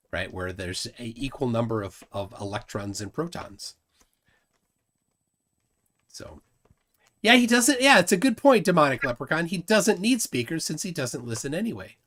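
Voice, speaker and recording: A man's voice: mid-range voice, a bit bright. Chatty man hosting a livestream. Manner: chatty, reads chat comments aloud, laughs often. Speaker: livestream host. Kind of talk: casual livestream chat reading viewer comments.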